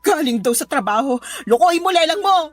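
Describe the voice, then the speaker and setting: A woman's voice: sits high, animated, fairly quick. A woman playing an aggrieved, suspicious young wife and mother in a Filipino radio drama, tearful and accusing, with a Filipino accent. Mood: angry. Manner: tearful, accusing, emotional delivery. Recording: music playing behind the voice.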